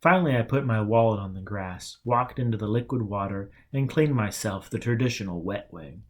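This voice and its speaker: A man's voice: lowish voice, brisk. A man narrating a novel as an audiobook reader, acting out the dialogue within the story.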